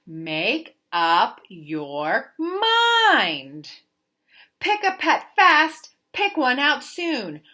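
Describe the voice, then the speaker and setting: A woman reads a children's story aloud in a bright, fairly high voice. Her delivery is slow and deliberate, with sing-song emphasis and big swings in pitch, as a teacher would read to small children. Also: wildly swooping pitch. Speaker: teacher reading a picture book aloud.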